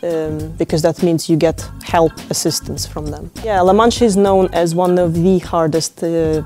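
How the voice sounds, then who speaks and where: Low, mellow woman. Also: fairly even intonation, faint music behind. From Ukraine. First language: Ukrainian. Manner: unhurried, with many fillers and restarts. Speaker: interviewee, open-water swimmer. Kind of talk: reflective interview talk.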